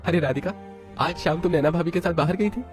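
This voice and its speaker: A man's voice: fairly high pitch, brisk, over music, voice pushed up, close to an everyday voice. A man playing an earnest, reassuring young husband in a cartoon, remorseful and supportive. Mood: gentle, supportive.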